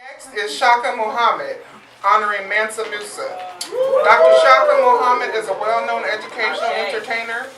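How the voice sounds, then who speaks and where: A woman's voice: on the high side, big pitch swings, moderate pace, loud music behind the voice. A woman announcer reading out a prepared introduction for a performer at a live event. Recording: very noisy, echoey, distant live recording. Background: loud noise and clicks.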